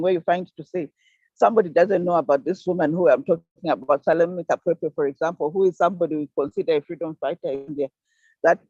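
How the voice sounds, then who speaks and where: An older woman from Zambia giving an earnest, deliberate talk on an online conference call, stressing key words. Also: deep voice, dark tone, husky, dry recording. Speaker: panel speaker. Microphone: video call. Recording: dull dry video call audio.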